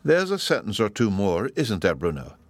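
A man voicing a young girl who tells a storybook tale in a patient, gentle, slightly sly manner. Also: on the low side, huge pitch swings, normal speed, no room sound, studio quality. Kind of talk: storybook character voice in audiobook reading.

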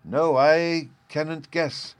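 A man's voice: on the high side, steady tone, slow, clean tone, no echo, voice pushed up. A man doing a sweet little girl. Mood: calm. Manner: soft and polite. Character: sweet, gentle young girl.

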